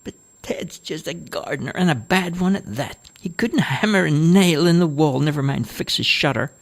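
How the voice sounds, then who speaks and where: A man's voice: fairly high pitch, normal speed, creaky, voice pushed up. A man putting on a whiny, bossy old mother. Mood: complaining. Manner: whiny, imperious, complaining. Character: demanding elderly mother, fretful and dramatic. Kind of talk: storybook reading.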